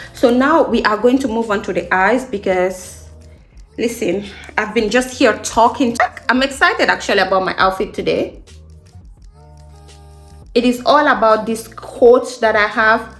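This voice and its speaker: A woman's voice: a little high, a bit bright. A woman in her late twenties from Guinea, a beauty vlogger chatting casually in an upbeat, emphatic way, repeating phrases to encourage her viewers. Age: late 20s. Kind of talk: casual get-ready-with-me chat.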